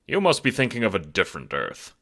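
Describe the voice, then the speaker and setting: A man's voice: lowish voice, huge pitch swings, quick pace, pushed and bright, full character voice. A man voicing a blustering older mustached adventurer, incredulous and scornful, in an audio drama. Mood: weary disbelief.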